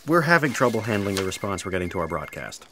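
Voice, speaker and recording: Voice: deep voice, very animated, fast talker, a bit bright, slight background noise, heavily acted. An actor playing a dutiful, formal, wordy office aide in a comic sci-fi audio play. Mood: dutiful, pressed. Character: efficient, formal, long-winded office aide.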